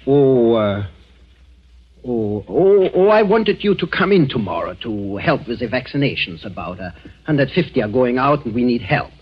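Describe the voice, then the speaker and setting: A smug nazi army doctor, husky, swoopy voice, formal, muffled old radio. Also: male voice, mid-range voice, very animated, normal speed. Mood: official. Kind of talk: 1940s radio drama acting. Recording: muffled 1940s radio recording.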